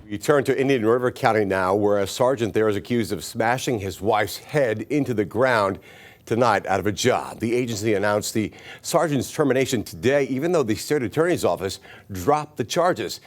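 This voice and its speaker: A man's voice: lowish voice, expressive, normal speed. A man with an American accent reading scripted news as a television anchor.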